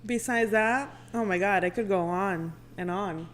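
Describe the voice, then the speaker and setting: A woman radio personality chats as a guest in a mid-pitched voice. Her talk is informal and conversational, peppered with filler words. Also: a little slow, a little hiss or hum. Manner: informal, frequent "like". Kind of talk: casual chat.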